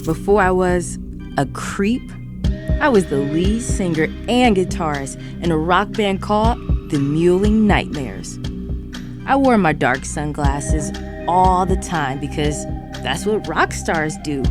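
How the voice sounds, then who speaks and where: Low, swooping rock-star monster. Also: female voice, expressive, slow pace, over music. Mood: cool, ominous. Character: cool, dead-eyed ex-rocker monster in sunglasses. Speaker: podcast co-host. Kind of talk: scripted horror storytelling.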